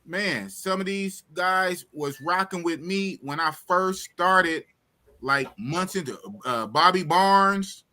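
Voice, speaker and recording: A man in his fifties with a bright, ringing voice and a slightly higher pitch than most men. He hosts a casual live stream, animated and repetitive, hyping up his viewers as he goes. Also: takes it slowly. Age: 50s. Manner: animated, shouts out viewers, repeats himself. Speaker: host of a live talk stream. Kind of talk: casual livestream chat.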